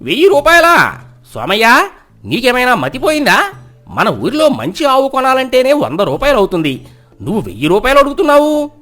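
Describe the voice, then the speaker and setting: A man acts out a suspicious, astonished villager in a storybook tale. The voice is very high and lively, full of exclaimed questions. Music runs underneath. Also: moderate pace, heavily acted. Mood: indignant disbelief.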